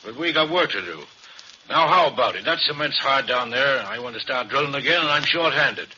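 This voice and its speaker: An actor playing a gruff, impatient drilling foreman in a 1940s radio drama, brusque and bossy. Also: medium pitch, expressive, fairly quick, bright, forceful tone, full character voice. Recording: dull 1940s radio broadcast.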